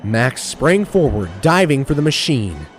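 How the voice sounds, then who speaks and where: A man's voice: medium pitch, very animated, unhurried, faint music behind. A man narrating an action story as a dramatic, scripted storyteller.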